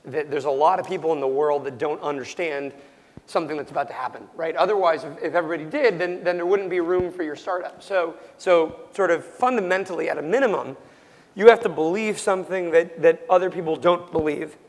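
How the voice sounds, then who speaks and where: A young man whose voice is going hoarse and cracks now and then, answering interview questions at near-shouting volume and restarting his sentences. His voice sits a little high for a man. The recording carries a long, lingering reverb. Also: brisk, a little hiss or hum. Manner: loud, nearly yelling, full of ums and restarts. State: losing his voice, prone to cracking. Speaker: startup founder being interviewed.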